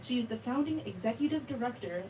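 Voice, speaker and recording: A woman hosts a bookstore event and reads out a formal introduction, now and then hesitating over words. Her voice is fairly high and mellow. She sits away from the mic, with faint noise behind her. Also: expressive, quick pace, distant mic, low-quality recording. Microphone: video call.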